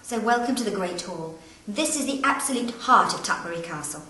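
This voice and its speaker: A woman speaking as a castle guide, telling its stories to camera in a deliberate, formal and dramatic manner. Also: mid-range voice, animated, sharp tone. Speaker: castle guide and historical interpreter. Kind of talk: storytelling to camera. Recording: echoey great hall, distant mic, background noise.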